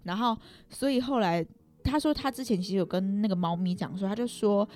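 A woman's voice: medium pitch, brisk, a little mellow. A young woman with a Taiwanese Mandarin accent telling a personal story casually, rambling and often circling back to rephrase.

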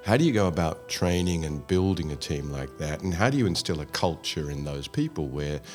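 A man's voice: rumbling low, lively intonation, fairly quick, darkish tone, soft background music. A man from Australia hosting an interview, chatty and telling stories as he asks questions. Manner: chatty, storytelling. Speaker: interview host. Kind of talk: conversational interview.

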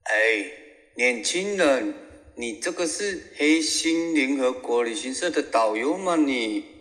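A man's voice: mid-range voice, animated, slow pace, a bit bright. A man playing a muddled older tour passenger in an audio drama, grumbling and full of questions. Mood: querulous. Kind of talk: amateur audio drama acting. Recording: echoey hall sound.

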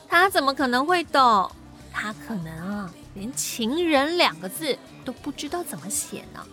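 A woman's voice: high-pitched, very animated, a little slow, sharp tone, soft background music, heavily acted. A woman voicing a teasing young female friend. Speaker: voice actor in an audio drama.

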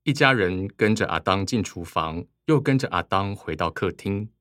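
A man narrates a radio drama from a script. His voice is low, and the recording is close and dry. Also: lively intonation, moderate pace, fairly bright tone, dry recording.

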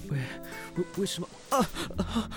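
A man's voice: normal speed, music in the background, lightly acted. A man with a Taiwanese Mandarin accent playing a proud, foul-mouthed, easily flustered police officer who blusters, grumbles and sputters in an audio drama. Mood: flustered protest. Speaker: voice actor.

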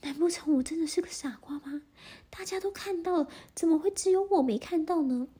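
A woman's voice: very high-pitched, fairly quick, warm tone, pitched above the performer's own voice. A woman voicing a vain king who loves new clothes, in a children's story. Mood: anxious. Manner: boastful and self-satisfied.

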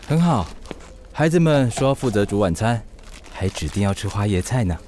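A man's voice: fairly low pitch, big pitch swings, moderate pace, a little mellow, a little hiss or hum. An actor playing a good-natured, easily smitten widowed single father in a radio drama, musing to himself expressively. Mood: friendly. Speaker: voice actor, lead. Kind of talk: radio drama acting with inner monologue.

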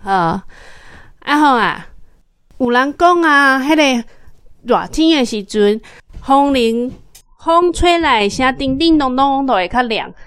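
A woman's voice: sits high, expressive, takes it slowly, long pauses, fairly bright tone, smooth, no echo. A woman with a Taiwanese accent chatting casually with a young child, explaining things simply and asking questions. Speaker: host of a children's segment, talking with a young child. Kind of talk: casual parent-child chat about a picture book.

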